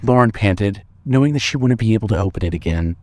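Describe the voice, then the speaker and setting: Male story narrator, lowish pitch, measured suspenseful read, dry close sound. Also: lively intonation, darkish tone, no echo.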